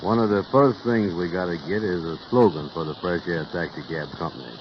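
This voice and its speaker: A man's voice: sits low, brisk, lightly acted. A man playing a self-important, scheming taxi company boss in old radio comedy, with folksy, ungrammatical talk. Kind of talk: 1930s radio comedy acting. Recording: muffled, noisy vintage radio recording.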